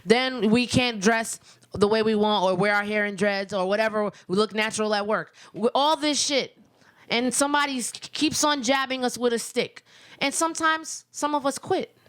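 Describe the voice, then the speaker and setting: A woman's voice: on the high side, normal speed, fairly bright tone, clear, resonant tone. An American woman radio host chatting casually and giving opinions in an emphatic, slangy way.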